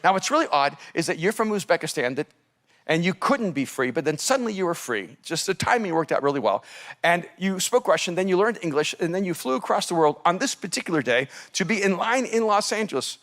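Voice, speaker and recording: A man from El Salvador, a native Spanish speaker, preaching as a pastor and telling a story in an animated, laughing manner. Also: sits high, fairly quick. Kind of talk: storytelling sermon.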